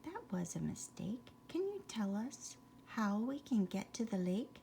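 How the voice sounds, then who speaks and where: Woman as a polite, slow-speaking young fawn. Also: mid-range voice, sing-song, creaky, warm tone, a little hiss or hum. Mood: polite, earnest. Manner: polite, earnest questioning. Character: young male fawn, polite, lost, earnest.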